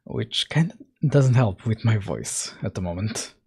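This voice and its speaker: A man hosting a game-dev livestream, hoarse and coughing while recovering, talking through his code casually with many fillers, close to the mic at night. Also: lively intonation, a little mellow, slight background noise. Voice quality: husky, deeper than usual. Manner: slow, thinking aloud with fillers. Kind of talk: casual coding livestream chat. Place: home room, at night. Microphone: close mic right in front.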